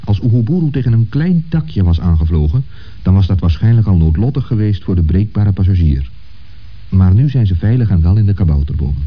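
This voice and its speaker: A man narrating a children's story from a script, as the narrator of a radio play. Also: on the low side. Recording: dull 1960s radio recording.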